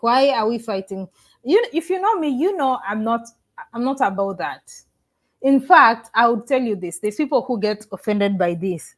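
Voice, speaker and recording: A woman from Africa, with a voice pitched a little above the usual, hosts a casual live stream from her Caribbean home. She reads comments aloud and talks right to her audience. She often stops partway through a sentence and starts it again. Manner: chatty, restarts sentences, addresses viewers directly. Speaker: YouTuber livestreaming and reading chat. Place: living in the Caribbean.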